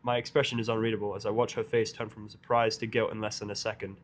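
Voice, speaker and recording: A man's voice: on the low side, flat delivery, fast talker. A man narrating a first-person story in character, with a dry, sardonic manner. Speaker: audiobook narrator.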